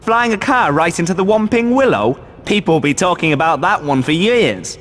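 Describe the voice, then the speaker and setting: Impressed schoolboy wizard, high male voice, long hall reverb, faint noise, video game acting. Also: animated, normal speed, fairly bright tone.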